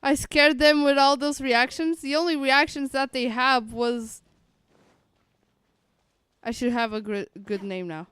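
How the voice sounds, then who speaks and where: A woman's voice: high-pitched, fairly even intonation, a little slow, long pauses, fairly bright tone, clean tone, no room sound. A woman streamer reacting casually to a game, with playful sing-song exclamations and muttered asides.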